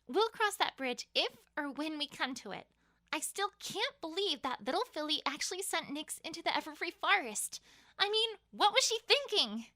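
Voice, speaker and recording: A woman's voice: sits high, big pitch swings, moderate pace, bright, forceful tone, dry recording, clean studio sound, full character voice. A woman voicing an earnest, weary young female pony student in a dramatized story. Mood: worried. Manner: earnest, reflective.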